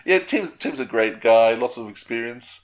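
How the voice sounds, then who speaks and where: A man co-hosts a podcast in casual chat. His voice is mid-pitched, and he talks haltingly, doubling back on phrases. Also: expressive. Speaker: podcast co-host.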